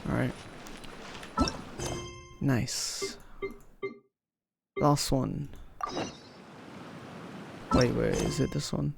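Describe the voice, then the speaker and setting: A man's voice: medium pitch, fairly even intonation, extremely slow, vocal fry, pauses often. A young man giving casual, slangy gameplay commentary as a streamer, muttering reactions to the game. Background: game music.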